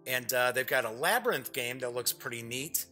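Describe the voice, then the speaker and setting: A man's voice: mid-range voice, lively intonation, moderate pace, bright, forceful tone, faint music behind. A man hosting an online gaming show, talking casually to viewers in a folksy, chatty, rambling way.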